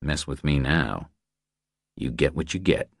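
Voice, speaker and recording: A man acts out a tough ex-military drifter in a thriller audiobook, extremely low in pitch. He talks tersely and flatly, with understated menace, in a dry, close recording. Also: measured pace, gravelly, no echo, full character voice. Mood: terse, quietly menacing.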